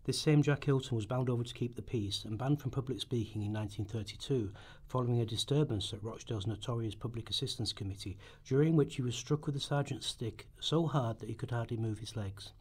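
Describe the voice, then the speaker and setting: Male narrator for a play rehearsal. Medium pitch, slightly rough voice, occasional stumbles in the reading. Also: a little mellow. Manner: stumbles over words and rereads. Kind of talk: scripted narration read aloud in rehearsal.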